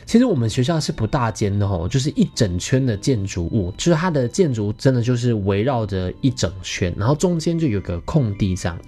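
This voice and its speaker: Male podcast host, thirties, Taiwanese Mandarin accent, mid-pitched and very animated, playful casual storyteller, light music underneath. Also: fairly quick, few pauses, slightly rough. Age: 30s. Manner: animated, playful, self-mocking humour.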